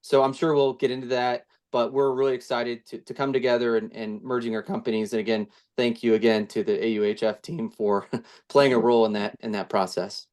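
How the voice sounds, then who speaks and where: A man's voice: mid-range voice, level tone, fairly quick, darkish tone. Casual businessman with stumbling restarts. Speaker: co-founder of a housing firm. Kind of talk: interview answer.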